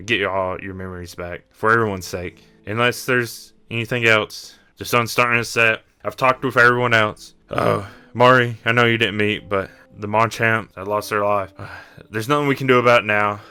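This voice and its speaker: Guy doing a tired kindly little monster guide, lowish bright voice, measured and sighing. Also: smooth. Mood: earnest, hopeful. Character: weary, kindly Dunsparce creature, a rescue team guide.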